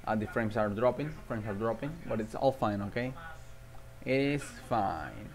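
A man's voice: fairly low pitch, animated, normal speed, gravelly. A man hosting a livestream, chatting casually and thinking aloud in a rambling way. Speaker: livestream host. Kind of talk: casual game-stream chatter.

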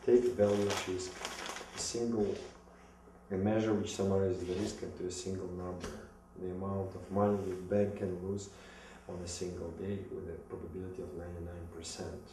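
Russian guy with a dark low voice reading out his pitch kinda stiffly, far from the mic. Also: little pitch movement, brisk. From Moscow, Russia. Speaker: business school applicant. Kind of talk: rehearsed self-presentation read from notes. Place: small indoor room against plain wall. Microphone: distant camera mic. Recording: echoey room, distant mic, some noise.

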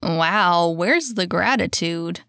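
A woman's voice: on the low side, animated, slow, creaky, pushed and bright, no room sound, studio quality, heavily acted. A woman voicing a cocky, mischievous young boy in a storybook, with smug, slangy, laid-back wisecracks. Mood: smug teasing.

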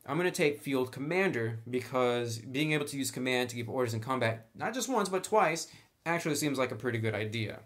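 A male tutorial host with a medium-pitched voice talks through tabletop game rules. He explains each step in a casual, conversational manner, sometimes reading from the book.

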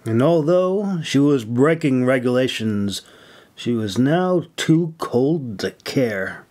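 A man with a mid-range voice, working as an audiobook narrator. He speaks at a slow pace, breaking his sentences into short, deliberate phrases with pauses between them. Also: mid-range voice, animated, darkish tone. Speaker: audiobook narrator and author-creator. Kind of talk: scripted story narration, then casual thanks to listeners.

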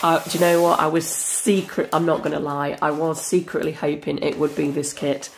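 A woman with a croaky voice from a cold, chatting eagerly through an unboxing. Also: low voice, background noise. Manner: chatty and enthusiastic, frequent fillers. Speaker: crafting channel host. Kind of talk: casual unboxing chat. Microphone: camera over a tabletop.

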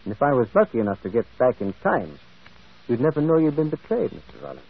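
A husky, thoughtful priest detective in an old radio mystery. He muses gently and shrewdly, with lively rises and falls, through a tinny, narrow broadcast sound. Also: medium pitch, quick pace, exaggerated voice. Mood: quietly insistent. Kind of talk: old radio drama acting.

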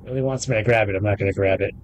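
A man in his thirties giving casual, halting gameplay commentary, thinking aloud as he plays. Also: lowish voice, fairly even intonation, slight background noise, low-quality recording. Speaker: gamer recording a playthrough.

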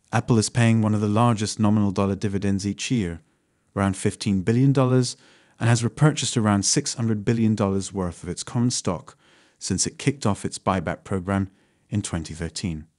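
Guy w/ a lowish voice reading a money script, dry close mic. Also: moderate pace, a little mellow, no echo. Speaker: narrator of a finance channel. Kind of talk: scripted narration.

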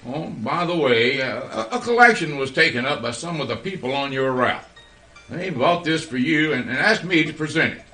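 A man's voice: medium pitch, expressive, moderate pace, bright, forceful tone, faint music behind. A man playing an officious, nitpicking postal boss in a radio play, with a pompous delivery. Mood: formal, brisk. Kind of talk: radio theater acting.

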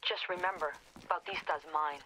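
A woman's voice: fairly low pitch, normal speed, sharp tone. An actress playing a blunt, defiant young woman guiding soldiers in a video game, out for revenge. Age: young adult. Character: tough defiant young woman, vengeful guerrilla guide. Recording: noisy game effects behind.

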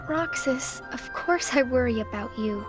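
An actress playing a gentle, loyal teenage girl in a video game, sincere and a little hesitant. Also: high-pitched, animated, measured pace, music in the background. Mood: caring. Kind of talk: video game cutscene acting.

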